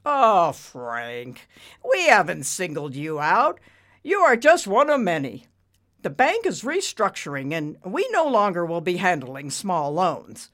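A woman's voice: on the low side, wildly swooping pitch, measured pace, a bit bright, full character voice. A woman voicing a rude, arrogant male bank boss in an audiobook, barking and dismissive. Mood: smug, dismissive. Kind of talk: audiobook character voice.

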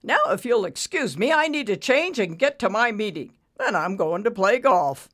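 An arrogant bank boss played by a woman barks out his lines with loud, demanding force. His pitch jumps around as he bullies and dismisses people, in the manner of an audiobook villain. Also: animated, normal speed. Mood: smug, dismissive. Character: rude, bullying, arrogant male bank boss. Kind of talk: audiobook character voice.

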